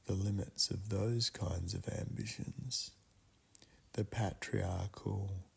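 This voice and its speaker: Slow, gravelly man guiding a meditation. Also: fairly low pitch. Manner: solemn, deliberate, with long pauses between short phrases. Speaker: guided meditation guide. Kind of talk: slow guided meditation ritual narration.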